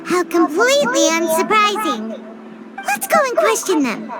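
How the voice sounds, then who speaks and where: A woman voicing a small, childlike fairy companion in a video game, excitable, clingy and emotional, given to exclaiming. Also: unhurried, heavily acted. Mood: scheming, matter-of-fact. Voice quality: squeaky, childlike. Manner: refers to self in third person, exclaiming. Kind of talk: video game character acting. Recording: game music under the voice. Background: music.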